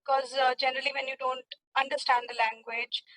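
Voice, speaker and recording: A woman with an Indian accent answers as a candidate in a spoken English test. Her voice is fairly high and very bright, and she speaks haltingly, feeling for the next phrase. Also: flat delivery, poor audio. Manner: hesitant, halting. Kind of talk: unscripted spoken exam answers.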